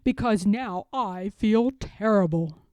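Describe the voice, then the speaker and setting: An earnest, puzzled toad from a children's storybook, acted out by a woman reading aloud. The delivery is slow, deliberate and emphatic, with a mellow, close dry sound. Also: medium pitch, no room sound, natural voice. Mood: miserable.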